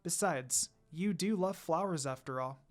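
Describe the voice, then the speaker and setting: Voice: mid-range voice, a little slow, lightly acted. A narrator as an eager young wolf princess. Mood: concerned, eager. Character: friendly young female wolf demon princess, eager helper.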